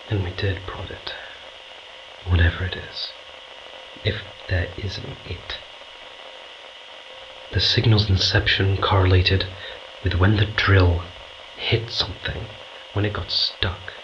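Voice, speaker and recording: A man playing an anxious, brooding astronaut on a remote moon base in an audio drama, speaking haltingly with breathy urgency. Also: fairly low pitch, dull audio. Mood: awed, fascinated. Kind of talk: audio drama acting, confiding recorded log. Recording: clear background noise.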